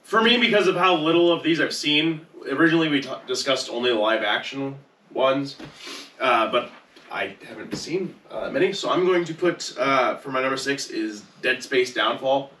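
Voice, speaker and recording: Male podcast co-host, bright forceful tone, halting casual delivery, echoey room. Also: mid-range voice, slight background noise. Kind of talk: casual group chat ranking movies. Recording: roomy echo, distant from mic.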